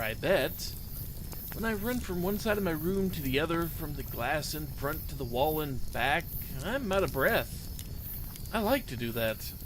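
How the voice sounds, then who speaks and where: Man reading as an earnest young child, animated pitch. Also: background noise, lightly acted. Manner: earnest, simple, childlike storytelling. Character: young child writing an earnest, lonely diary.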